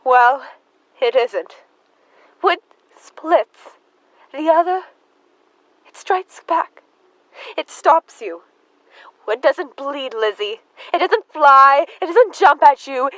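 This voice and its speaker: A young woman playing a devout, unhinged murderous sister in an audio drama, with halting, fervent delivery. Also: sits high, lively intonation, fairly bright tone, no echo, full character voice, rough recording. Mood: agitated, haunted. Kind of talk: high school audio drama acting.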